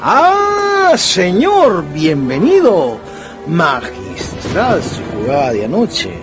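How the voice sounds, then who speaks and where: An actor in a radio drama playing a courteous, showy casino croupier who calls out bets with drawn-out, projected words. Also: male voice, sits high, wildly swooping pitch, music in the background, full character voice. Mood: courteous, inviting.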